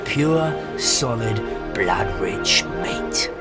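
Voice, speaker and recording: An actor playing a bitter, hungry nineteenth-century sailor in a video game, grimly ruthless, with a rough, brooding seafarer's delivery. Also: male voice, fairly low pitch, lively intonation, very slow, loud music behind the voice. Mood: ravenous, brooding. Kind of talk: video game cutscene acting.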